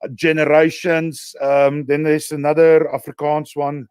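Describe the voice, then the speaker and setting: A man's voice: fairly high pitch, little pitch movement, unhurried, darkish tone, clear, resonant tone, dry recording. A man co-hosting an interview, telling rambling stories with hesitant fillers. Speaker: co-host. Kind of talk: casual interview chat.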